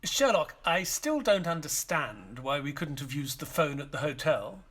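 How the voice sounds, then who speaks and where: An actor playing a loyal, doubtful, long-suffering male companion and narrator in an audio play, measured and literate. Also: a little high, lively intonation, fairly bright tone, a little hiss or hum. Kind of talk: audio play acting and first-person narration.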